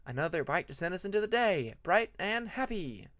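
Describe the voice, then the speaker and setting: A man voicing a gentle, polite young male dragon in a story, with a mild, friendly manner. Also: high voice, sing-song, moderate pace, no echo, voice pushed up. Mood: musing.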